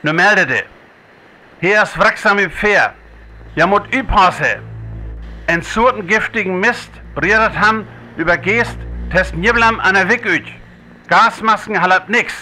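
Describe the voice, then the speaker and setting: High, piercing male radio operator, North Frisian accent, urgent, radio drama, filtered transmission with noise. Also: a little slow, sharp tone, full character voice, low-quality recording. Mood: tense warning. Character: urgent radio operator sending coded calls and warnings.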